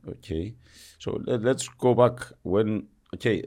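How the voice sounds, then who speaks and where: Deep, dark-voiced male interviewer. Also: measured pace. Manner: leading questions, finishes the guest's sentences. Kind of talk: interview questions.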